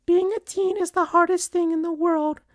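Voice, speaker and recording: A woman's voice: very high-pitched, sing-song, measured pace, darkish tone, no room sound, studio quality, voice pushed up, heavily acted. A woman voicing a sad cartoon mother, solemn and earnest as she consoles youngsters.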